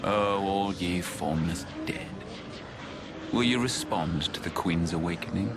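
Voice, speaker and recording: A man playing an ominous, solemn video game villain who speaks in archaic, grandiose, deliberate phrases. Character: ominous solemn male villain intoning over the dead. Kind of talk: dramatic video game voice acting. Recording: clearly noisy, rumbling background.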